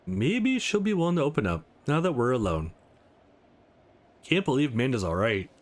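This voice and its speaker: A man's voice: mid-range voice, very animated, moderate pace. A man voicing a concerned young protagonist in a visual novel, casual and wry in manner. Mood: relieved.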